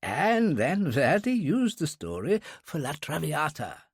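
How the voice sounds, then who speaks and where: A man's voice: on the high side, wildly swooping pitch, takes it slowly, breathy edge, no echo, clean studio sound, voice pushed up, exaggerated voice. A man voicing a genteel, easily flustered male professor with old-fashioned, effusive manners in an audiobook story. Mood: breathless, enthusiastic.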